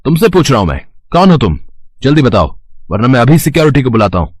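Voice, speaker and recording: A man's voice: medium pitch, sing-song, fast, a little mellow, breathy edge, no room sound, studio quality. A man acting an arrogant, short-tempered fashion designer in a dramatised moral story, imperious and mixing English into his Hindi. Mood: angry, scornful.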